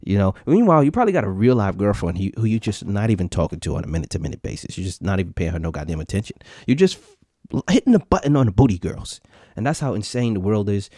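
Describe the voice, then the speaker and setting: A man in his thirties riffs to his live audience, swearing freely and repeating lines for laughs. His voice leaps up and down with lively pitch. The sound is close and dry, with no room echo. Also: fast talker. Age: 30s. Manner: profane, swaggering, repeats lines for comic effect. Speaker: podcast host. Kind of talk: casual live-stream rant to viewers.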